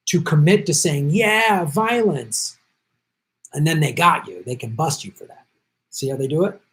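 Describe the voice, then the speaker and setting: A middle-aged man hosting a live stream, chatting casually and reading viewer comments while he is losing his voice and jet-lagged. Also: a little high. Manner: chatty, thanks viewers by name, quick asides. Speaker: livestream host.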